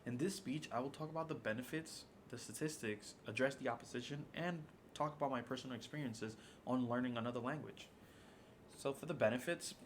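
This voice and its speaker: A man's voice: medium pitch, slight background noise. A young man, a native Spanish speaker, delivering a prepared persuasive speech for a class in a formal, read-aloud manner. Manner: formal, reading prepared remarks.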